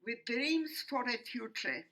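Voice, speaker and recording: An elderly woman from Poland with a Polish accent, giving personal testimony in a solemn, deliberate, halting way with pauses. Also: fairly high pitch, very animated, fairly bright tone. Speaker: Holocaust survivor speaker. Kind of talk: personal testimony to young audience.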